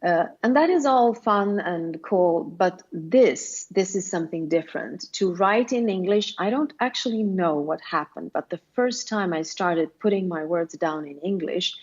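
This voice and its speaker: A woman's voice: fairly low pitch, unhurried, hardly any pauses, highs cut off. A middle-aged woman from Sweden speaking English with a Swedish accent, talking earnestly and reflectively as an author being interviewed, often restarting her sentences. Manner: earnest, reflective, frequent restarts and light laughs. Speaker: guest author. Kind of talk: interview conversation.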